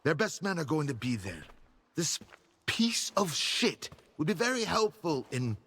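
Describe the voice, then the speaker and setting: An actor playing a cold, philosophical schemer in a video game, condescending and theatrical, unhurried in delivery. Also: male voice, on the high side, very animated, a bit bright, slightly rough, exaggerated voice. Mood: calm, menacing. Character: smooth-tongued scheming male villain, cold and philosophical. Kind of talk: video game cutscene acting. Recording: long hall reverb.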